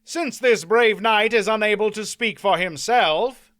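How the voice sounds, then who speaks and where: A man voicing a confident, courtly knight who speaks in formal archaic phrasing, loud and ringing. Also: sits high, unhurried, dry recording, clean studio sound, pitched above the performer's own voice. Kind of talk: audiobook character voice.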